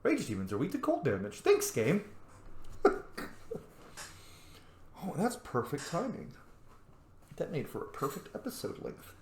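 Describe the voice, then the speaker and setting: A man doing casual, excitable gameplay commentary, informal and quick to swear. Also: fairly high pitch, slight background noise. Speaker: let's play streamer. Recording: roomy echo.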